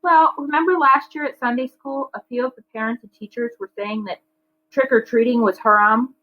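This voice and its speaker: A woman's voice: on the high side, fairly even intonation, moderate pace, lo-fi sound, pitched above the performer's own voice, lightly acted. A woman voicing an earnest, curious schoolgirl in a children's storybook, hesitant and questioning.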